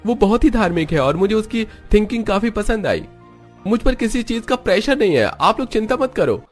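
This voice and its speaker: A man's voice: high voice, sing-song, moderate pace, soft background music, voice pushed up. A man voicing a cheerful, easygoing young husband and devoted son in a cartoon. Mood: earnest.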